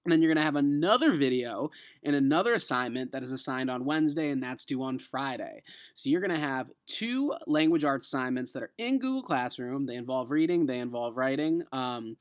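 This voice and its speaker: A man with an American accent, an elementary school teacher reading a children's novel aloud and explaining it to a class in an expressive, emphatic way. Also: mid-range voice, a little fast, clear, resonant tone.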